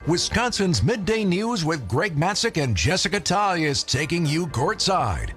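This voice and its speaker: A man's voice: a little high, sing-song, unhurried, fairly bright tone. A man reading a scripted sports radio promo as an announcer. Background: music.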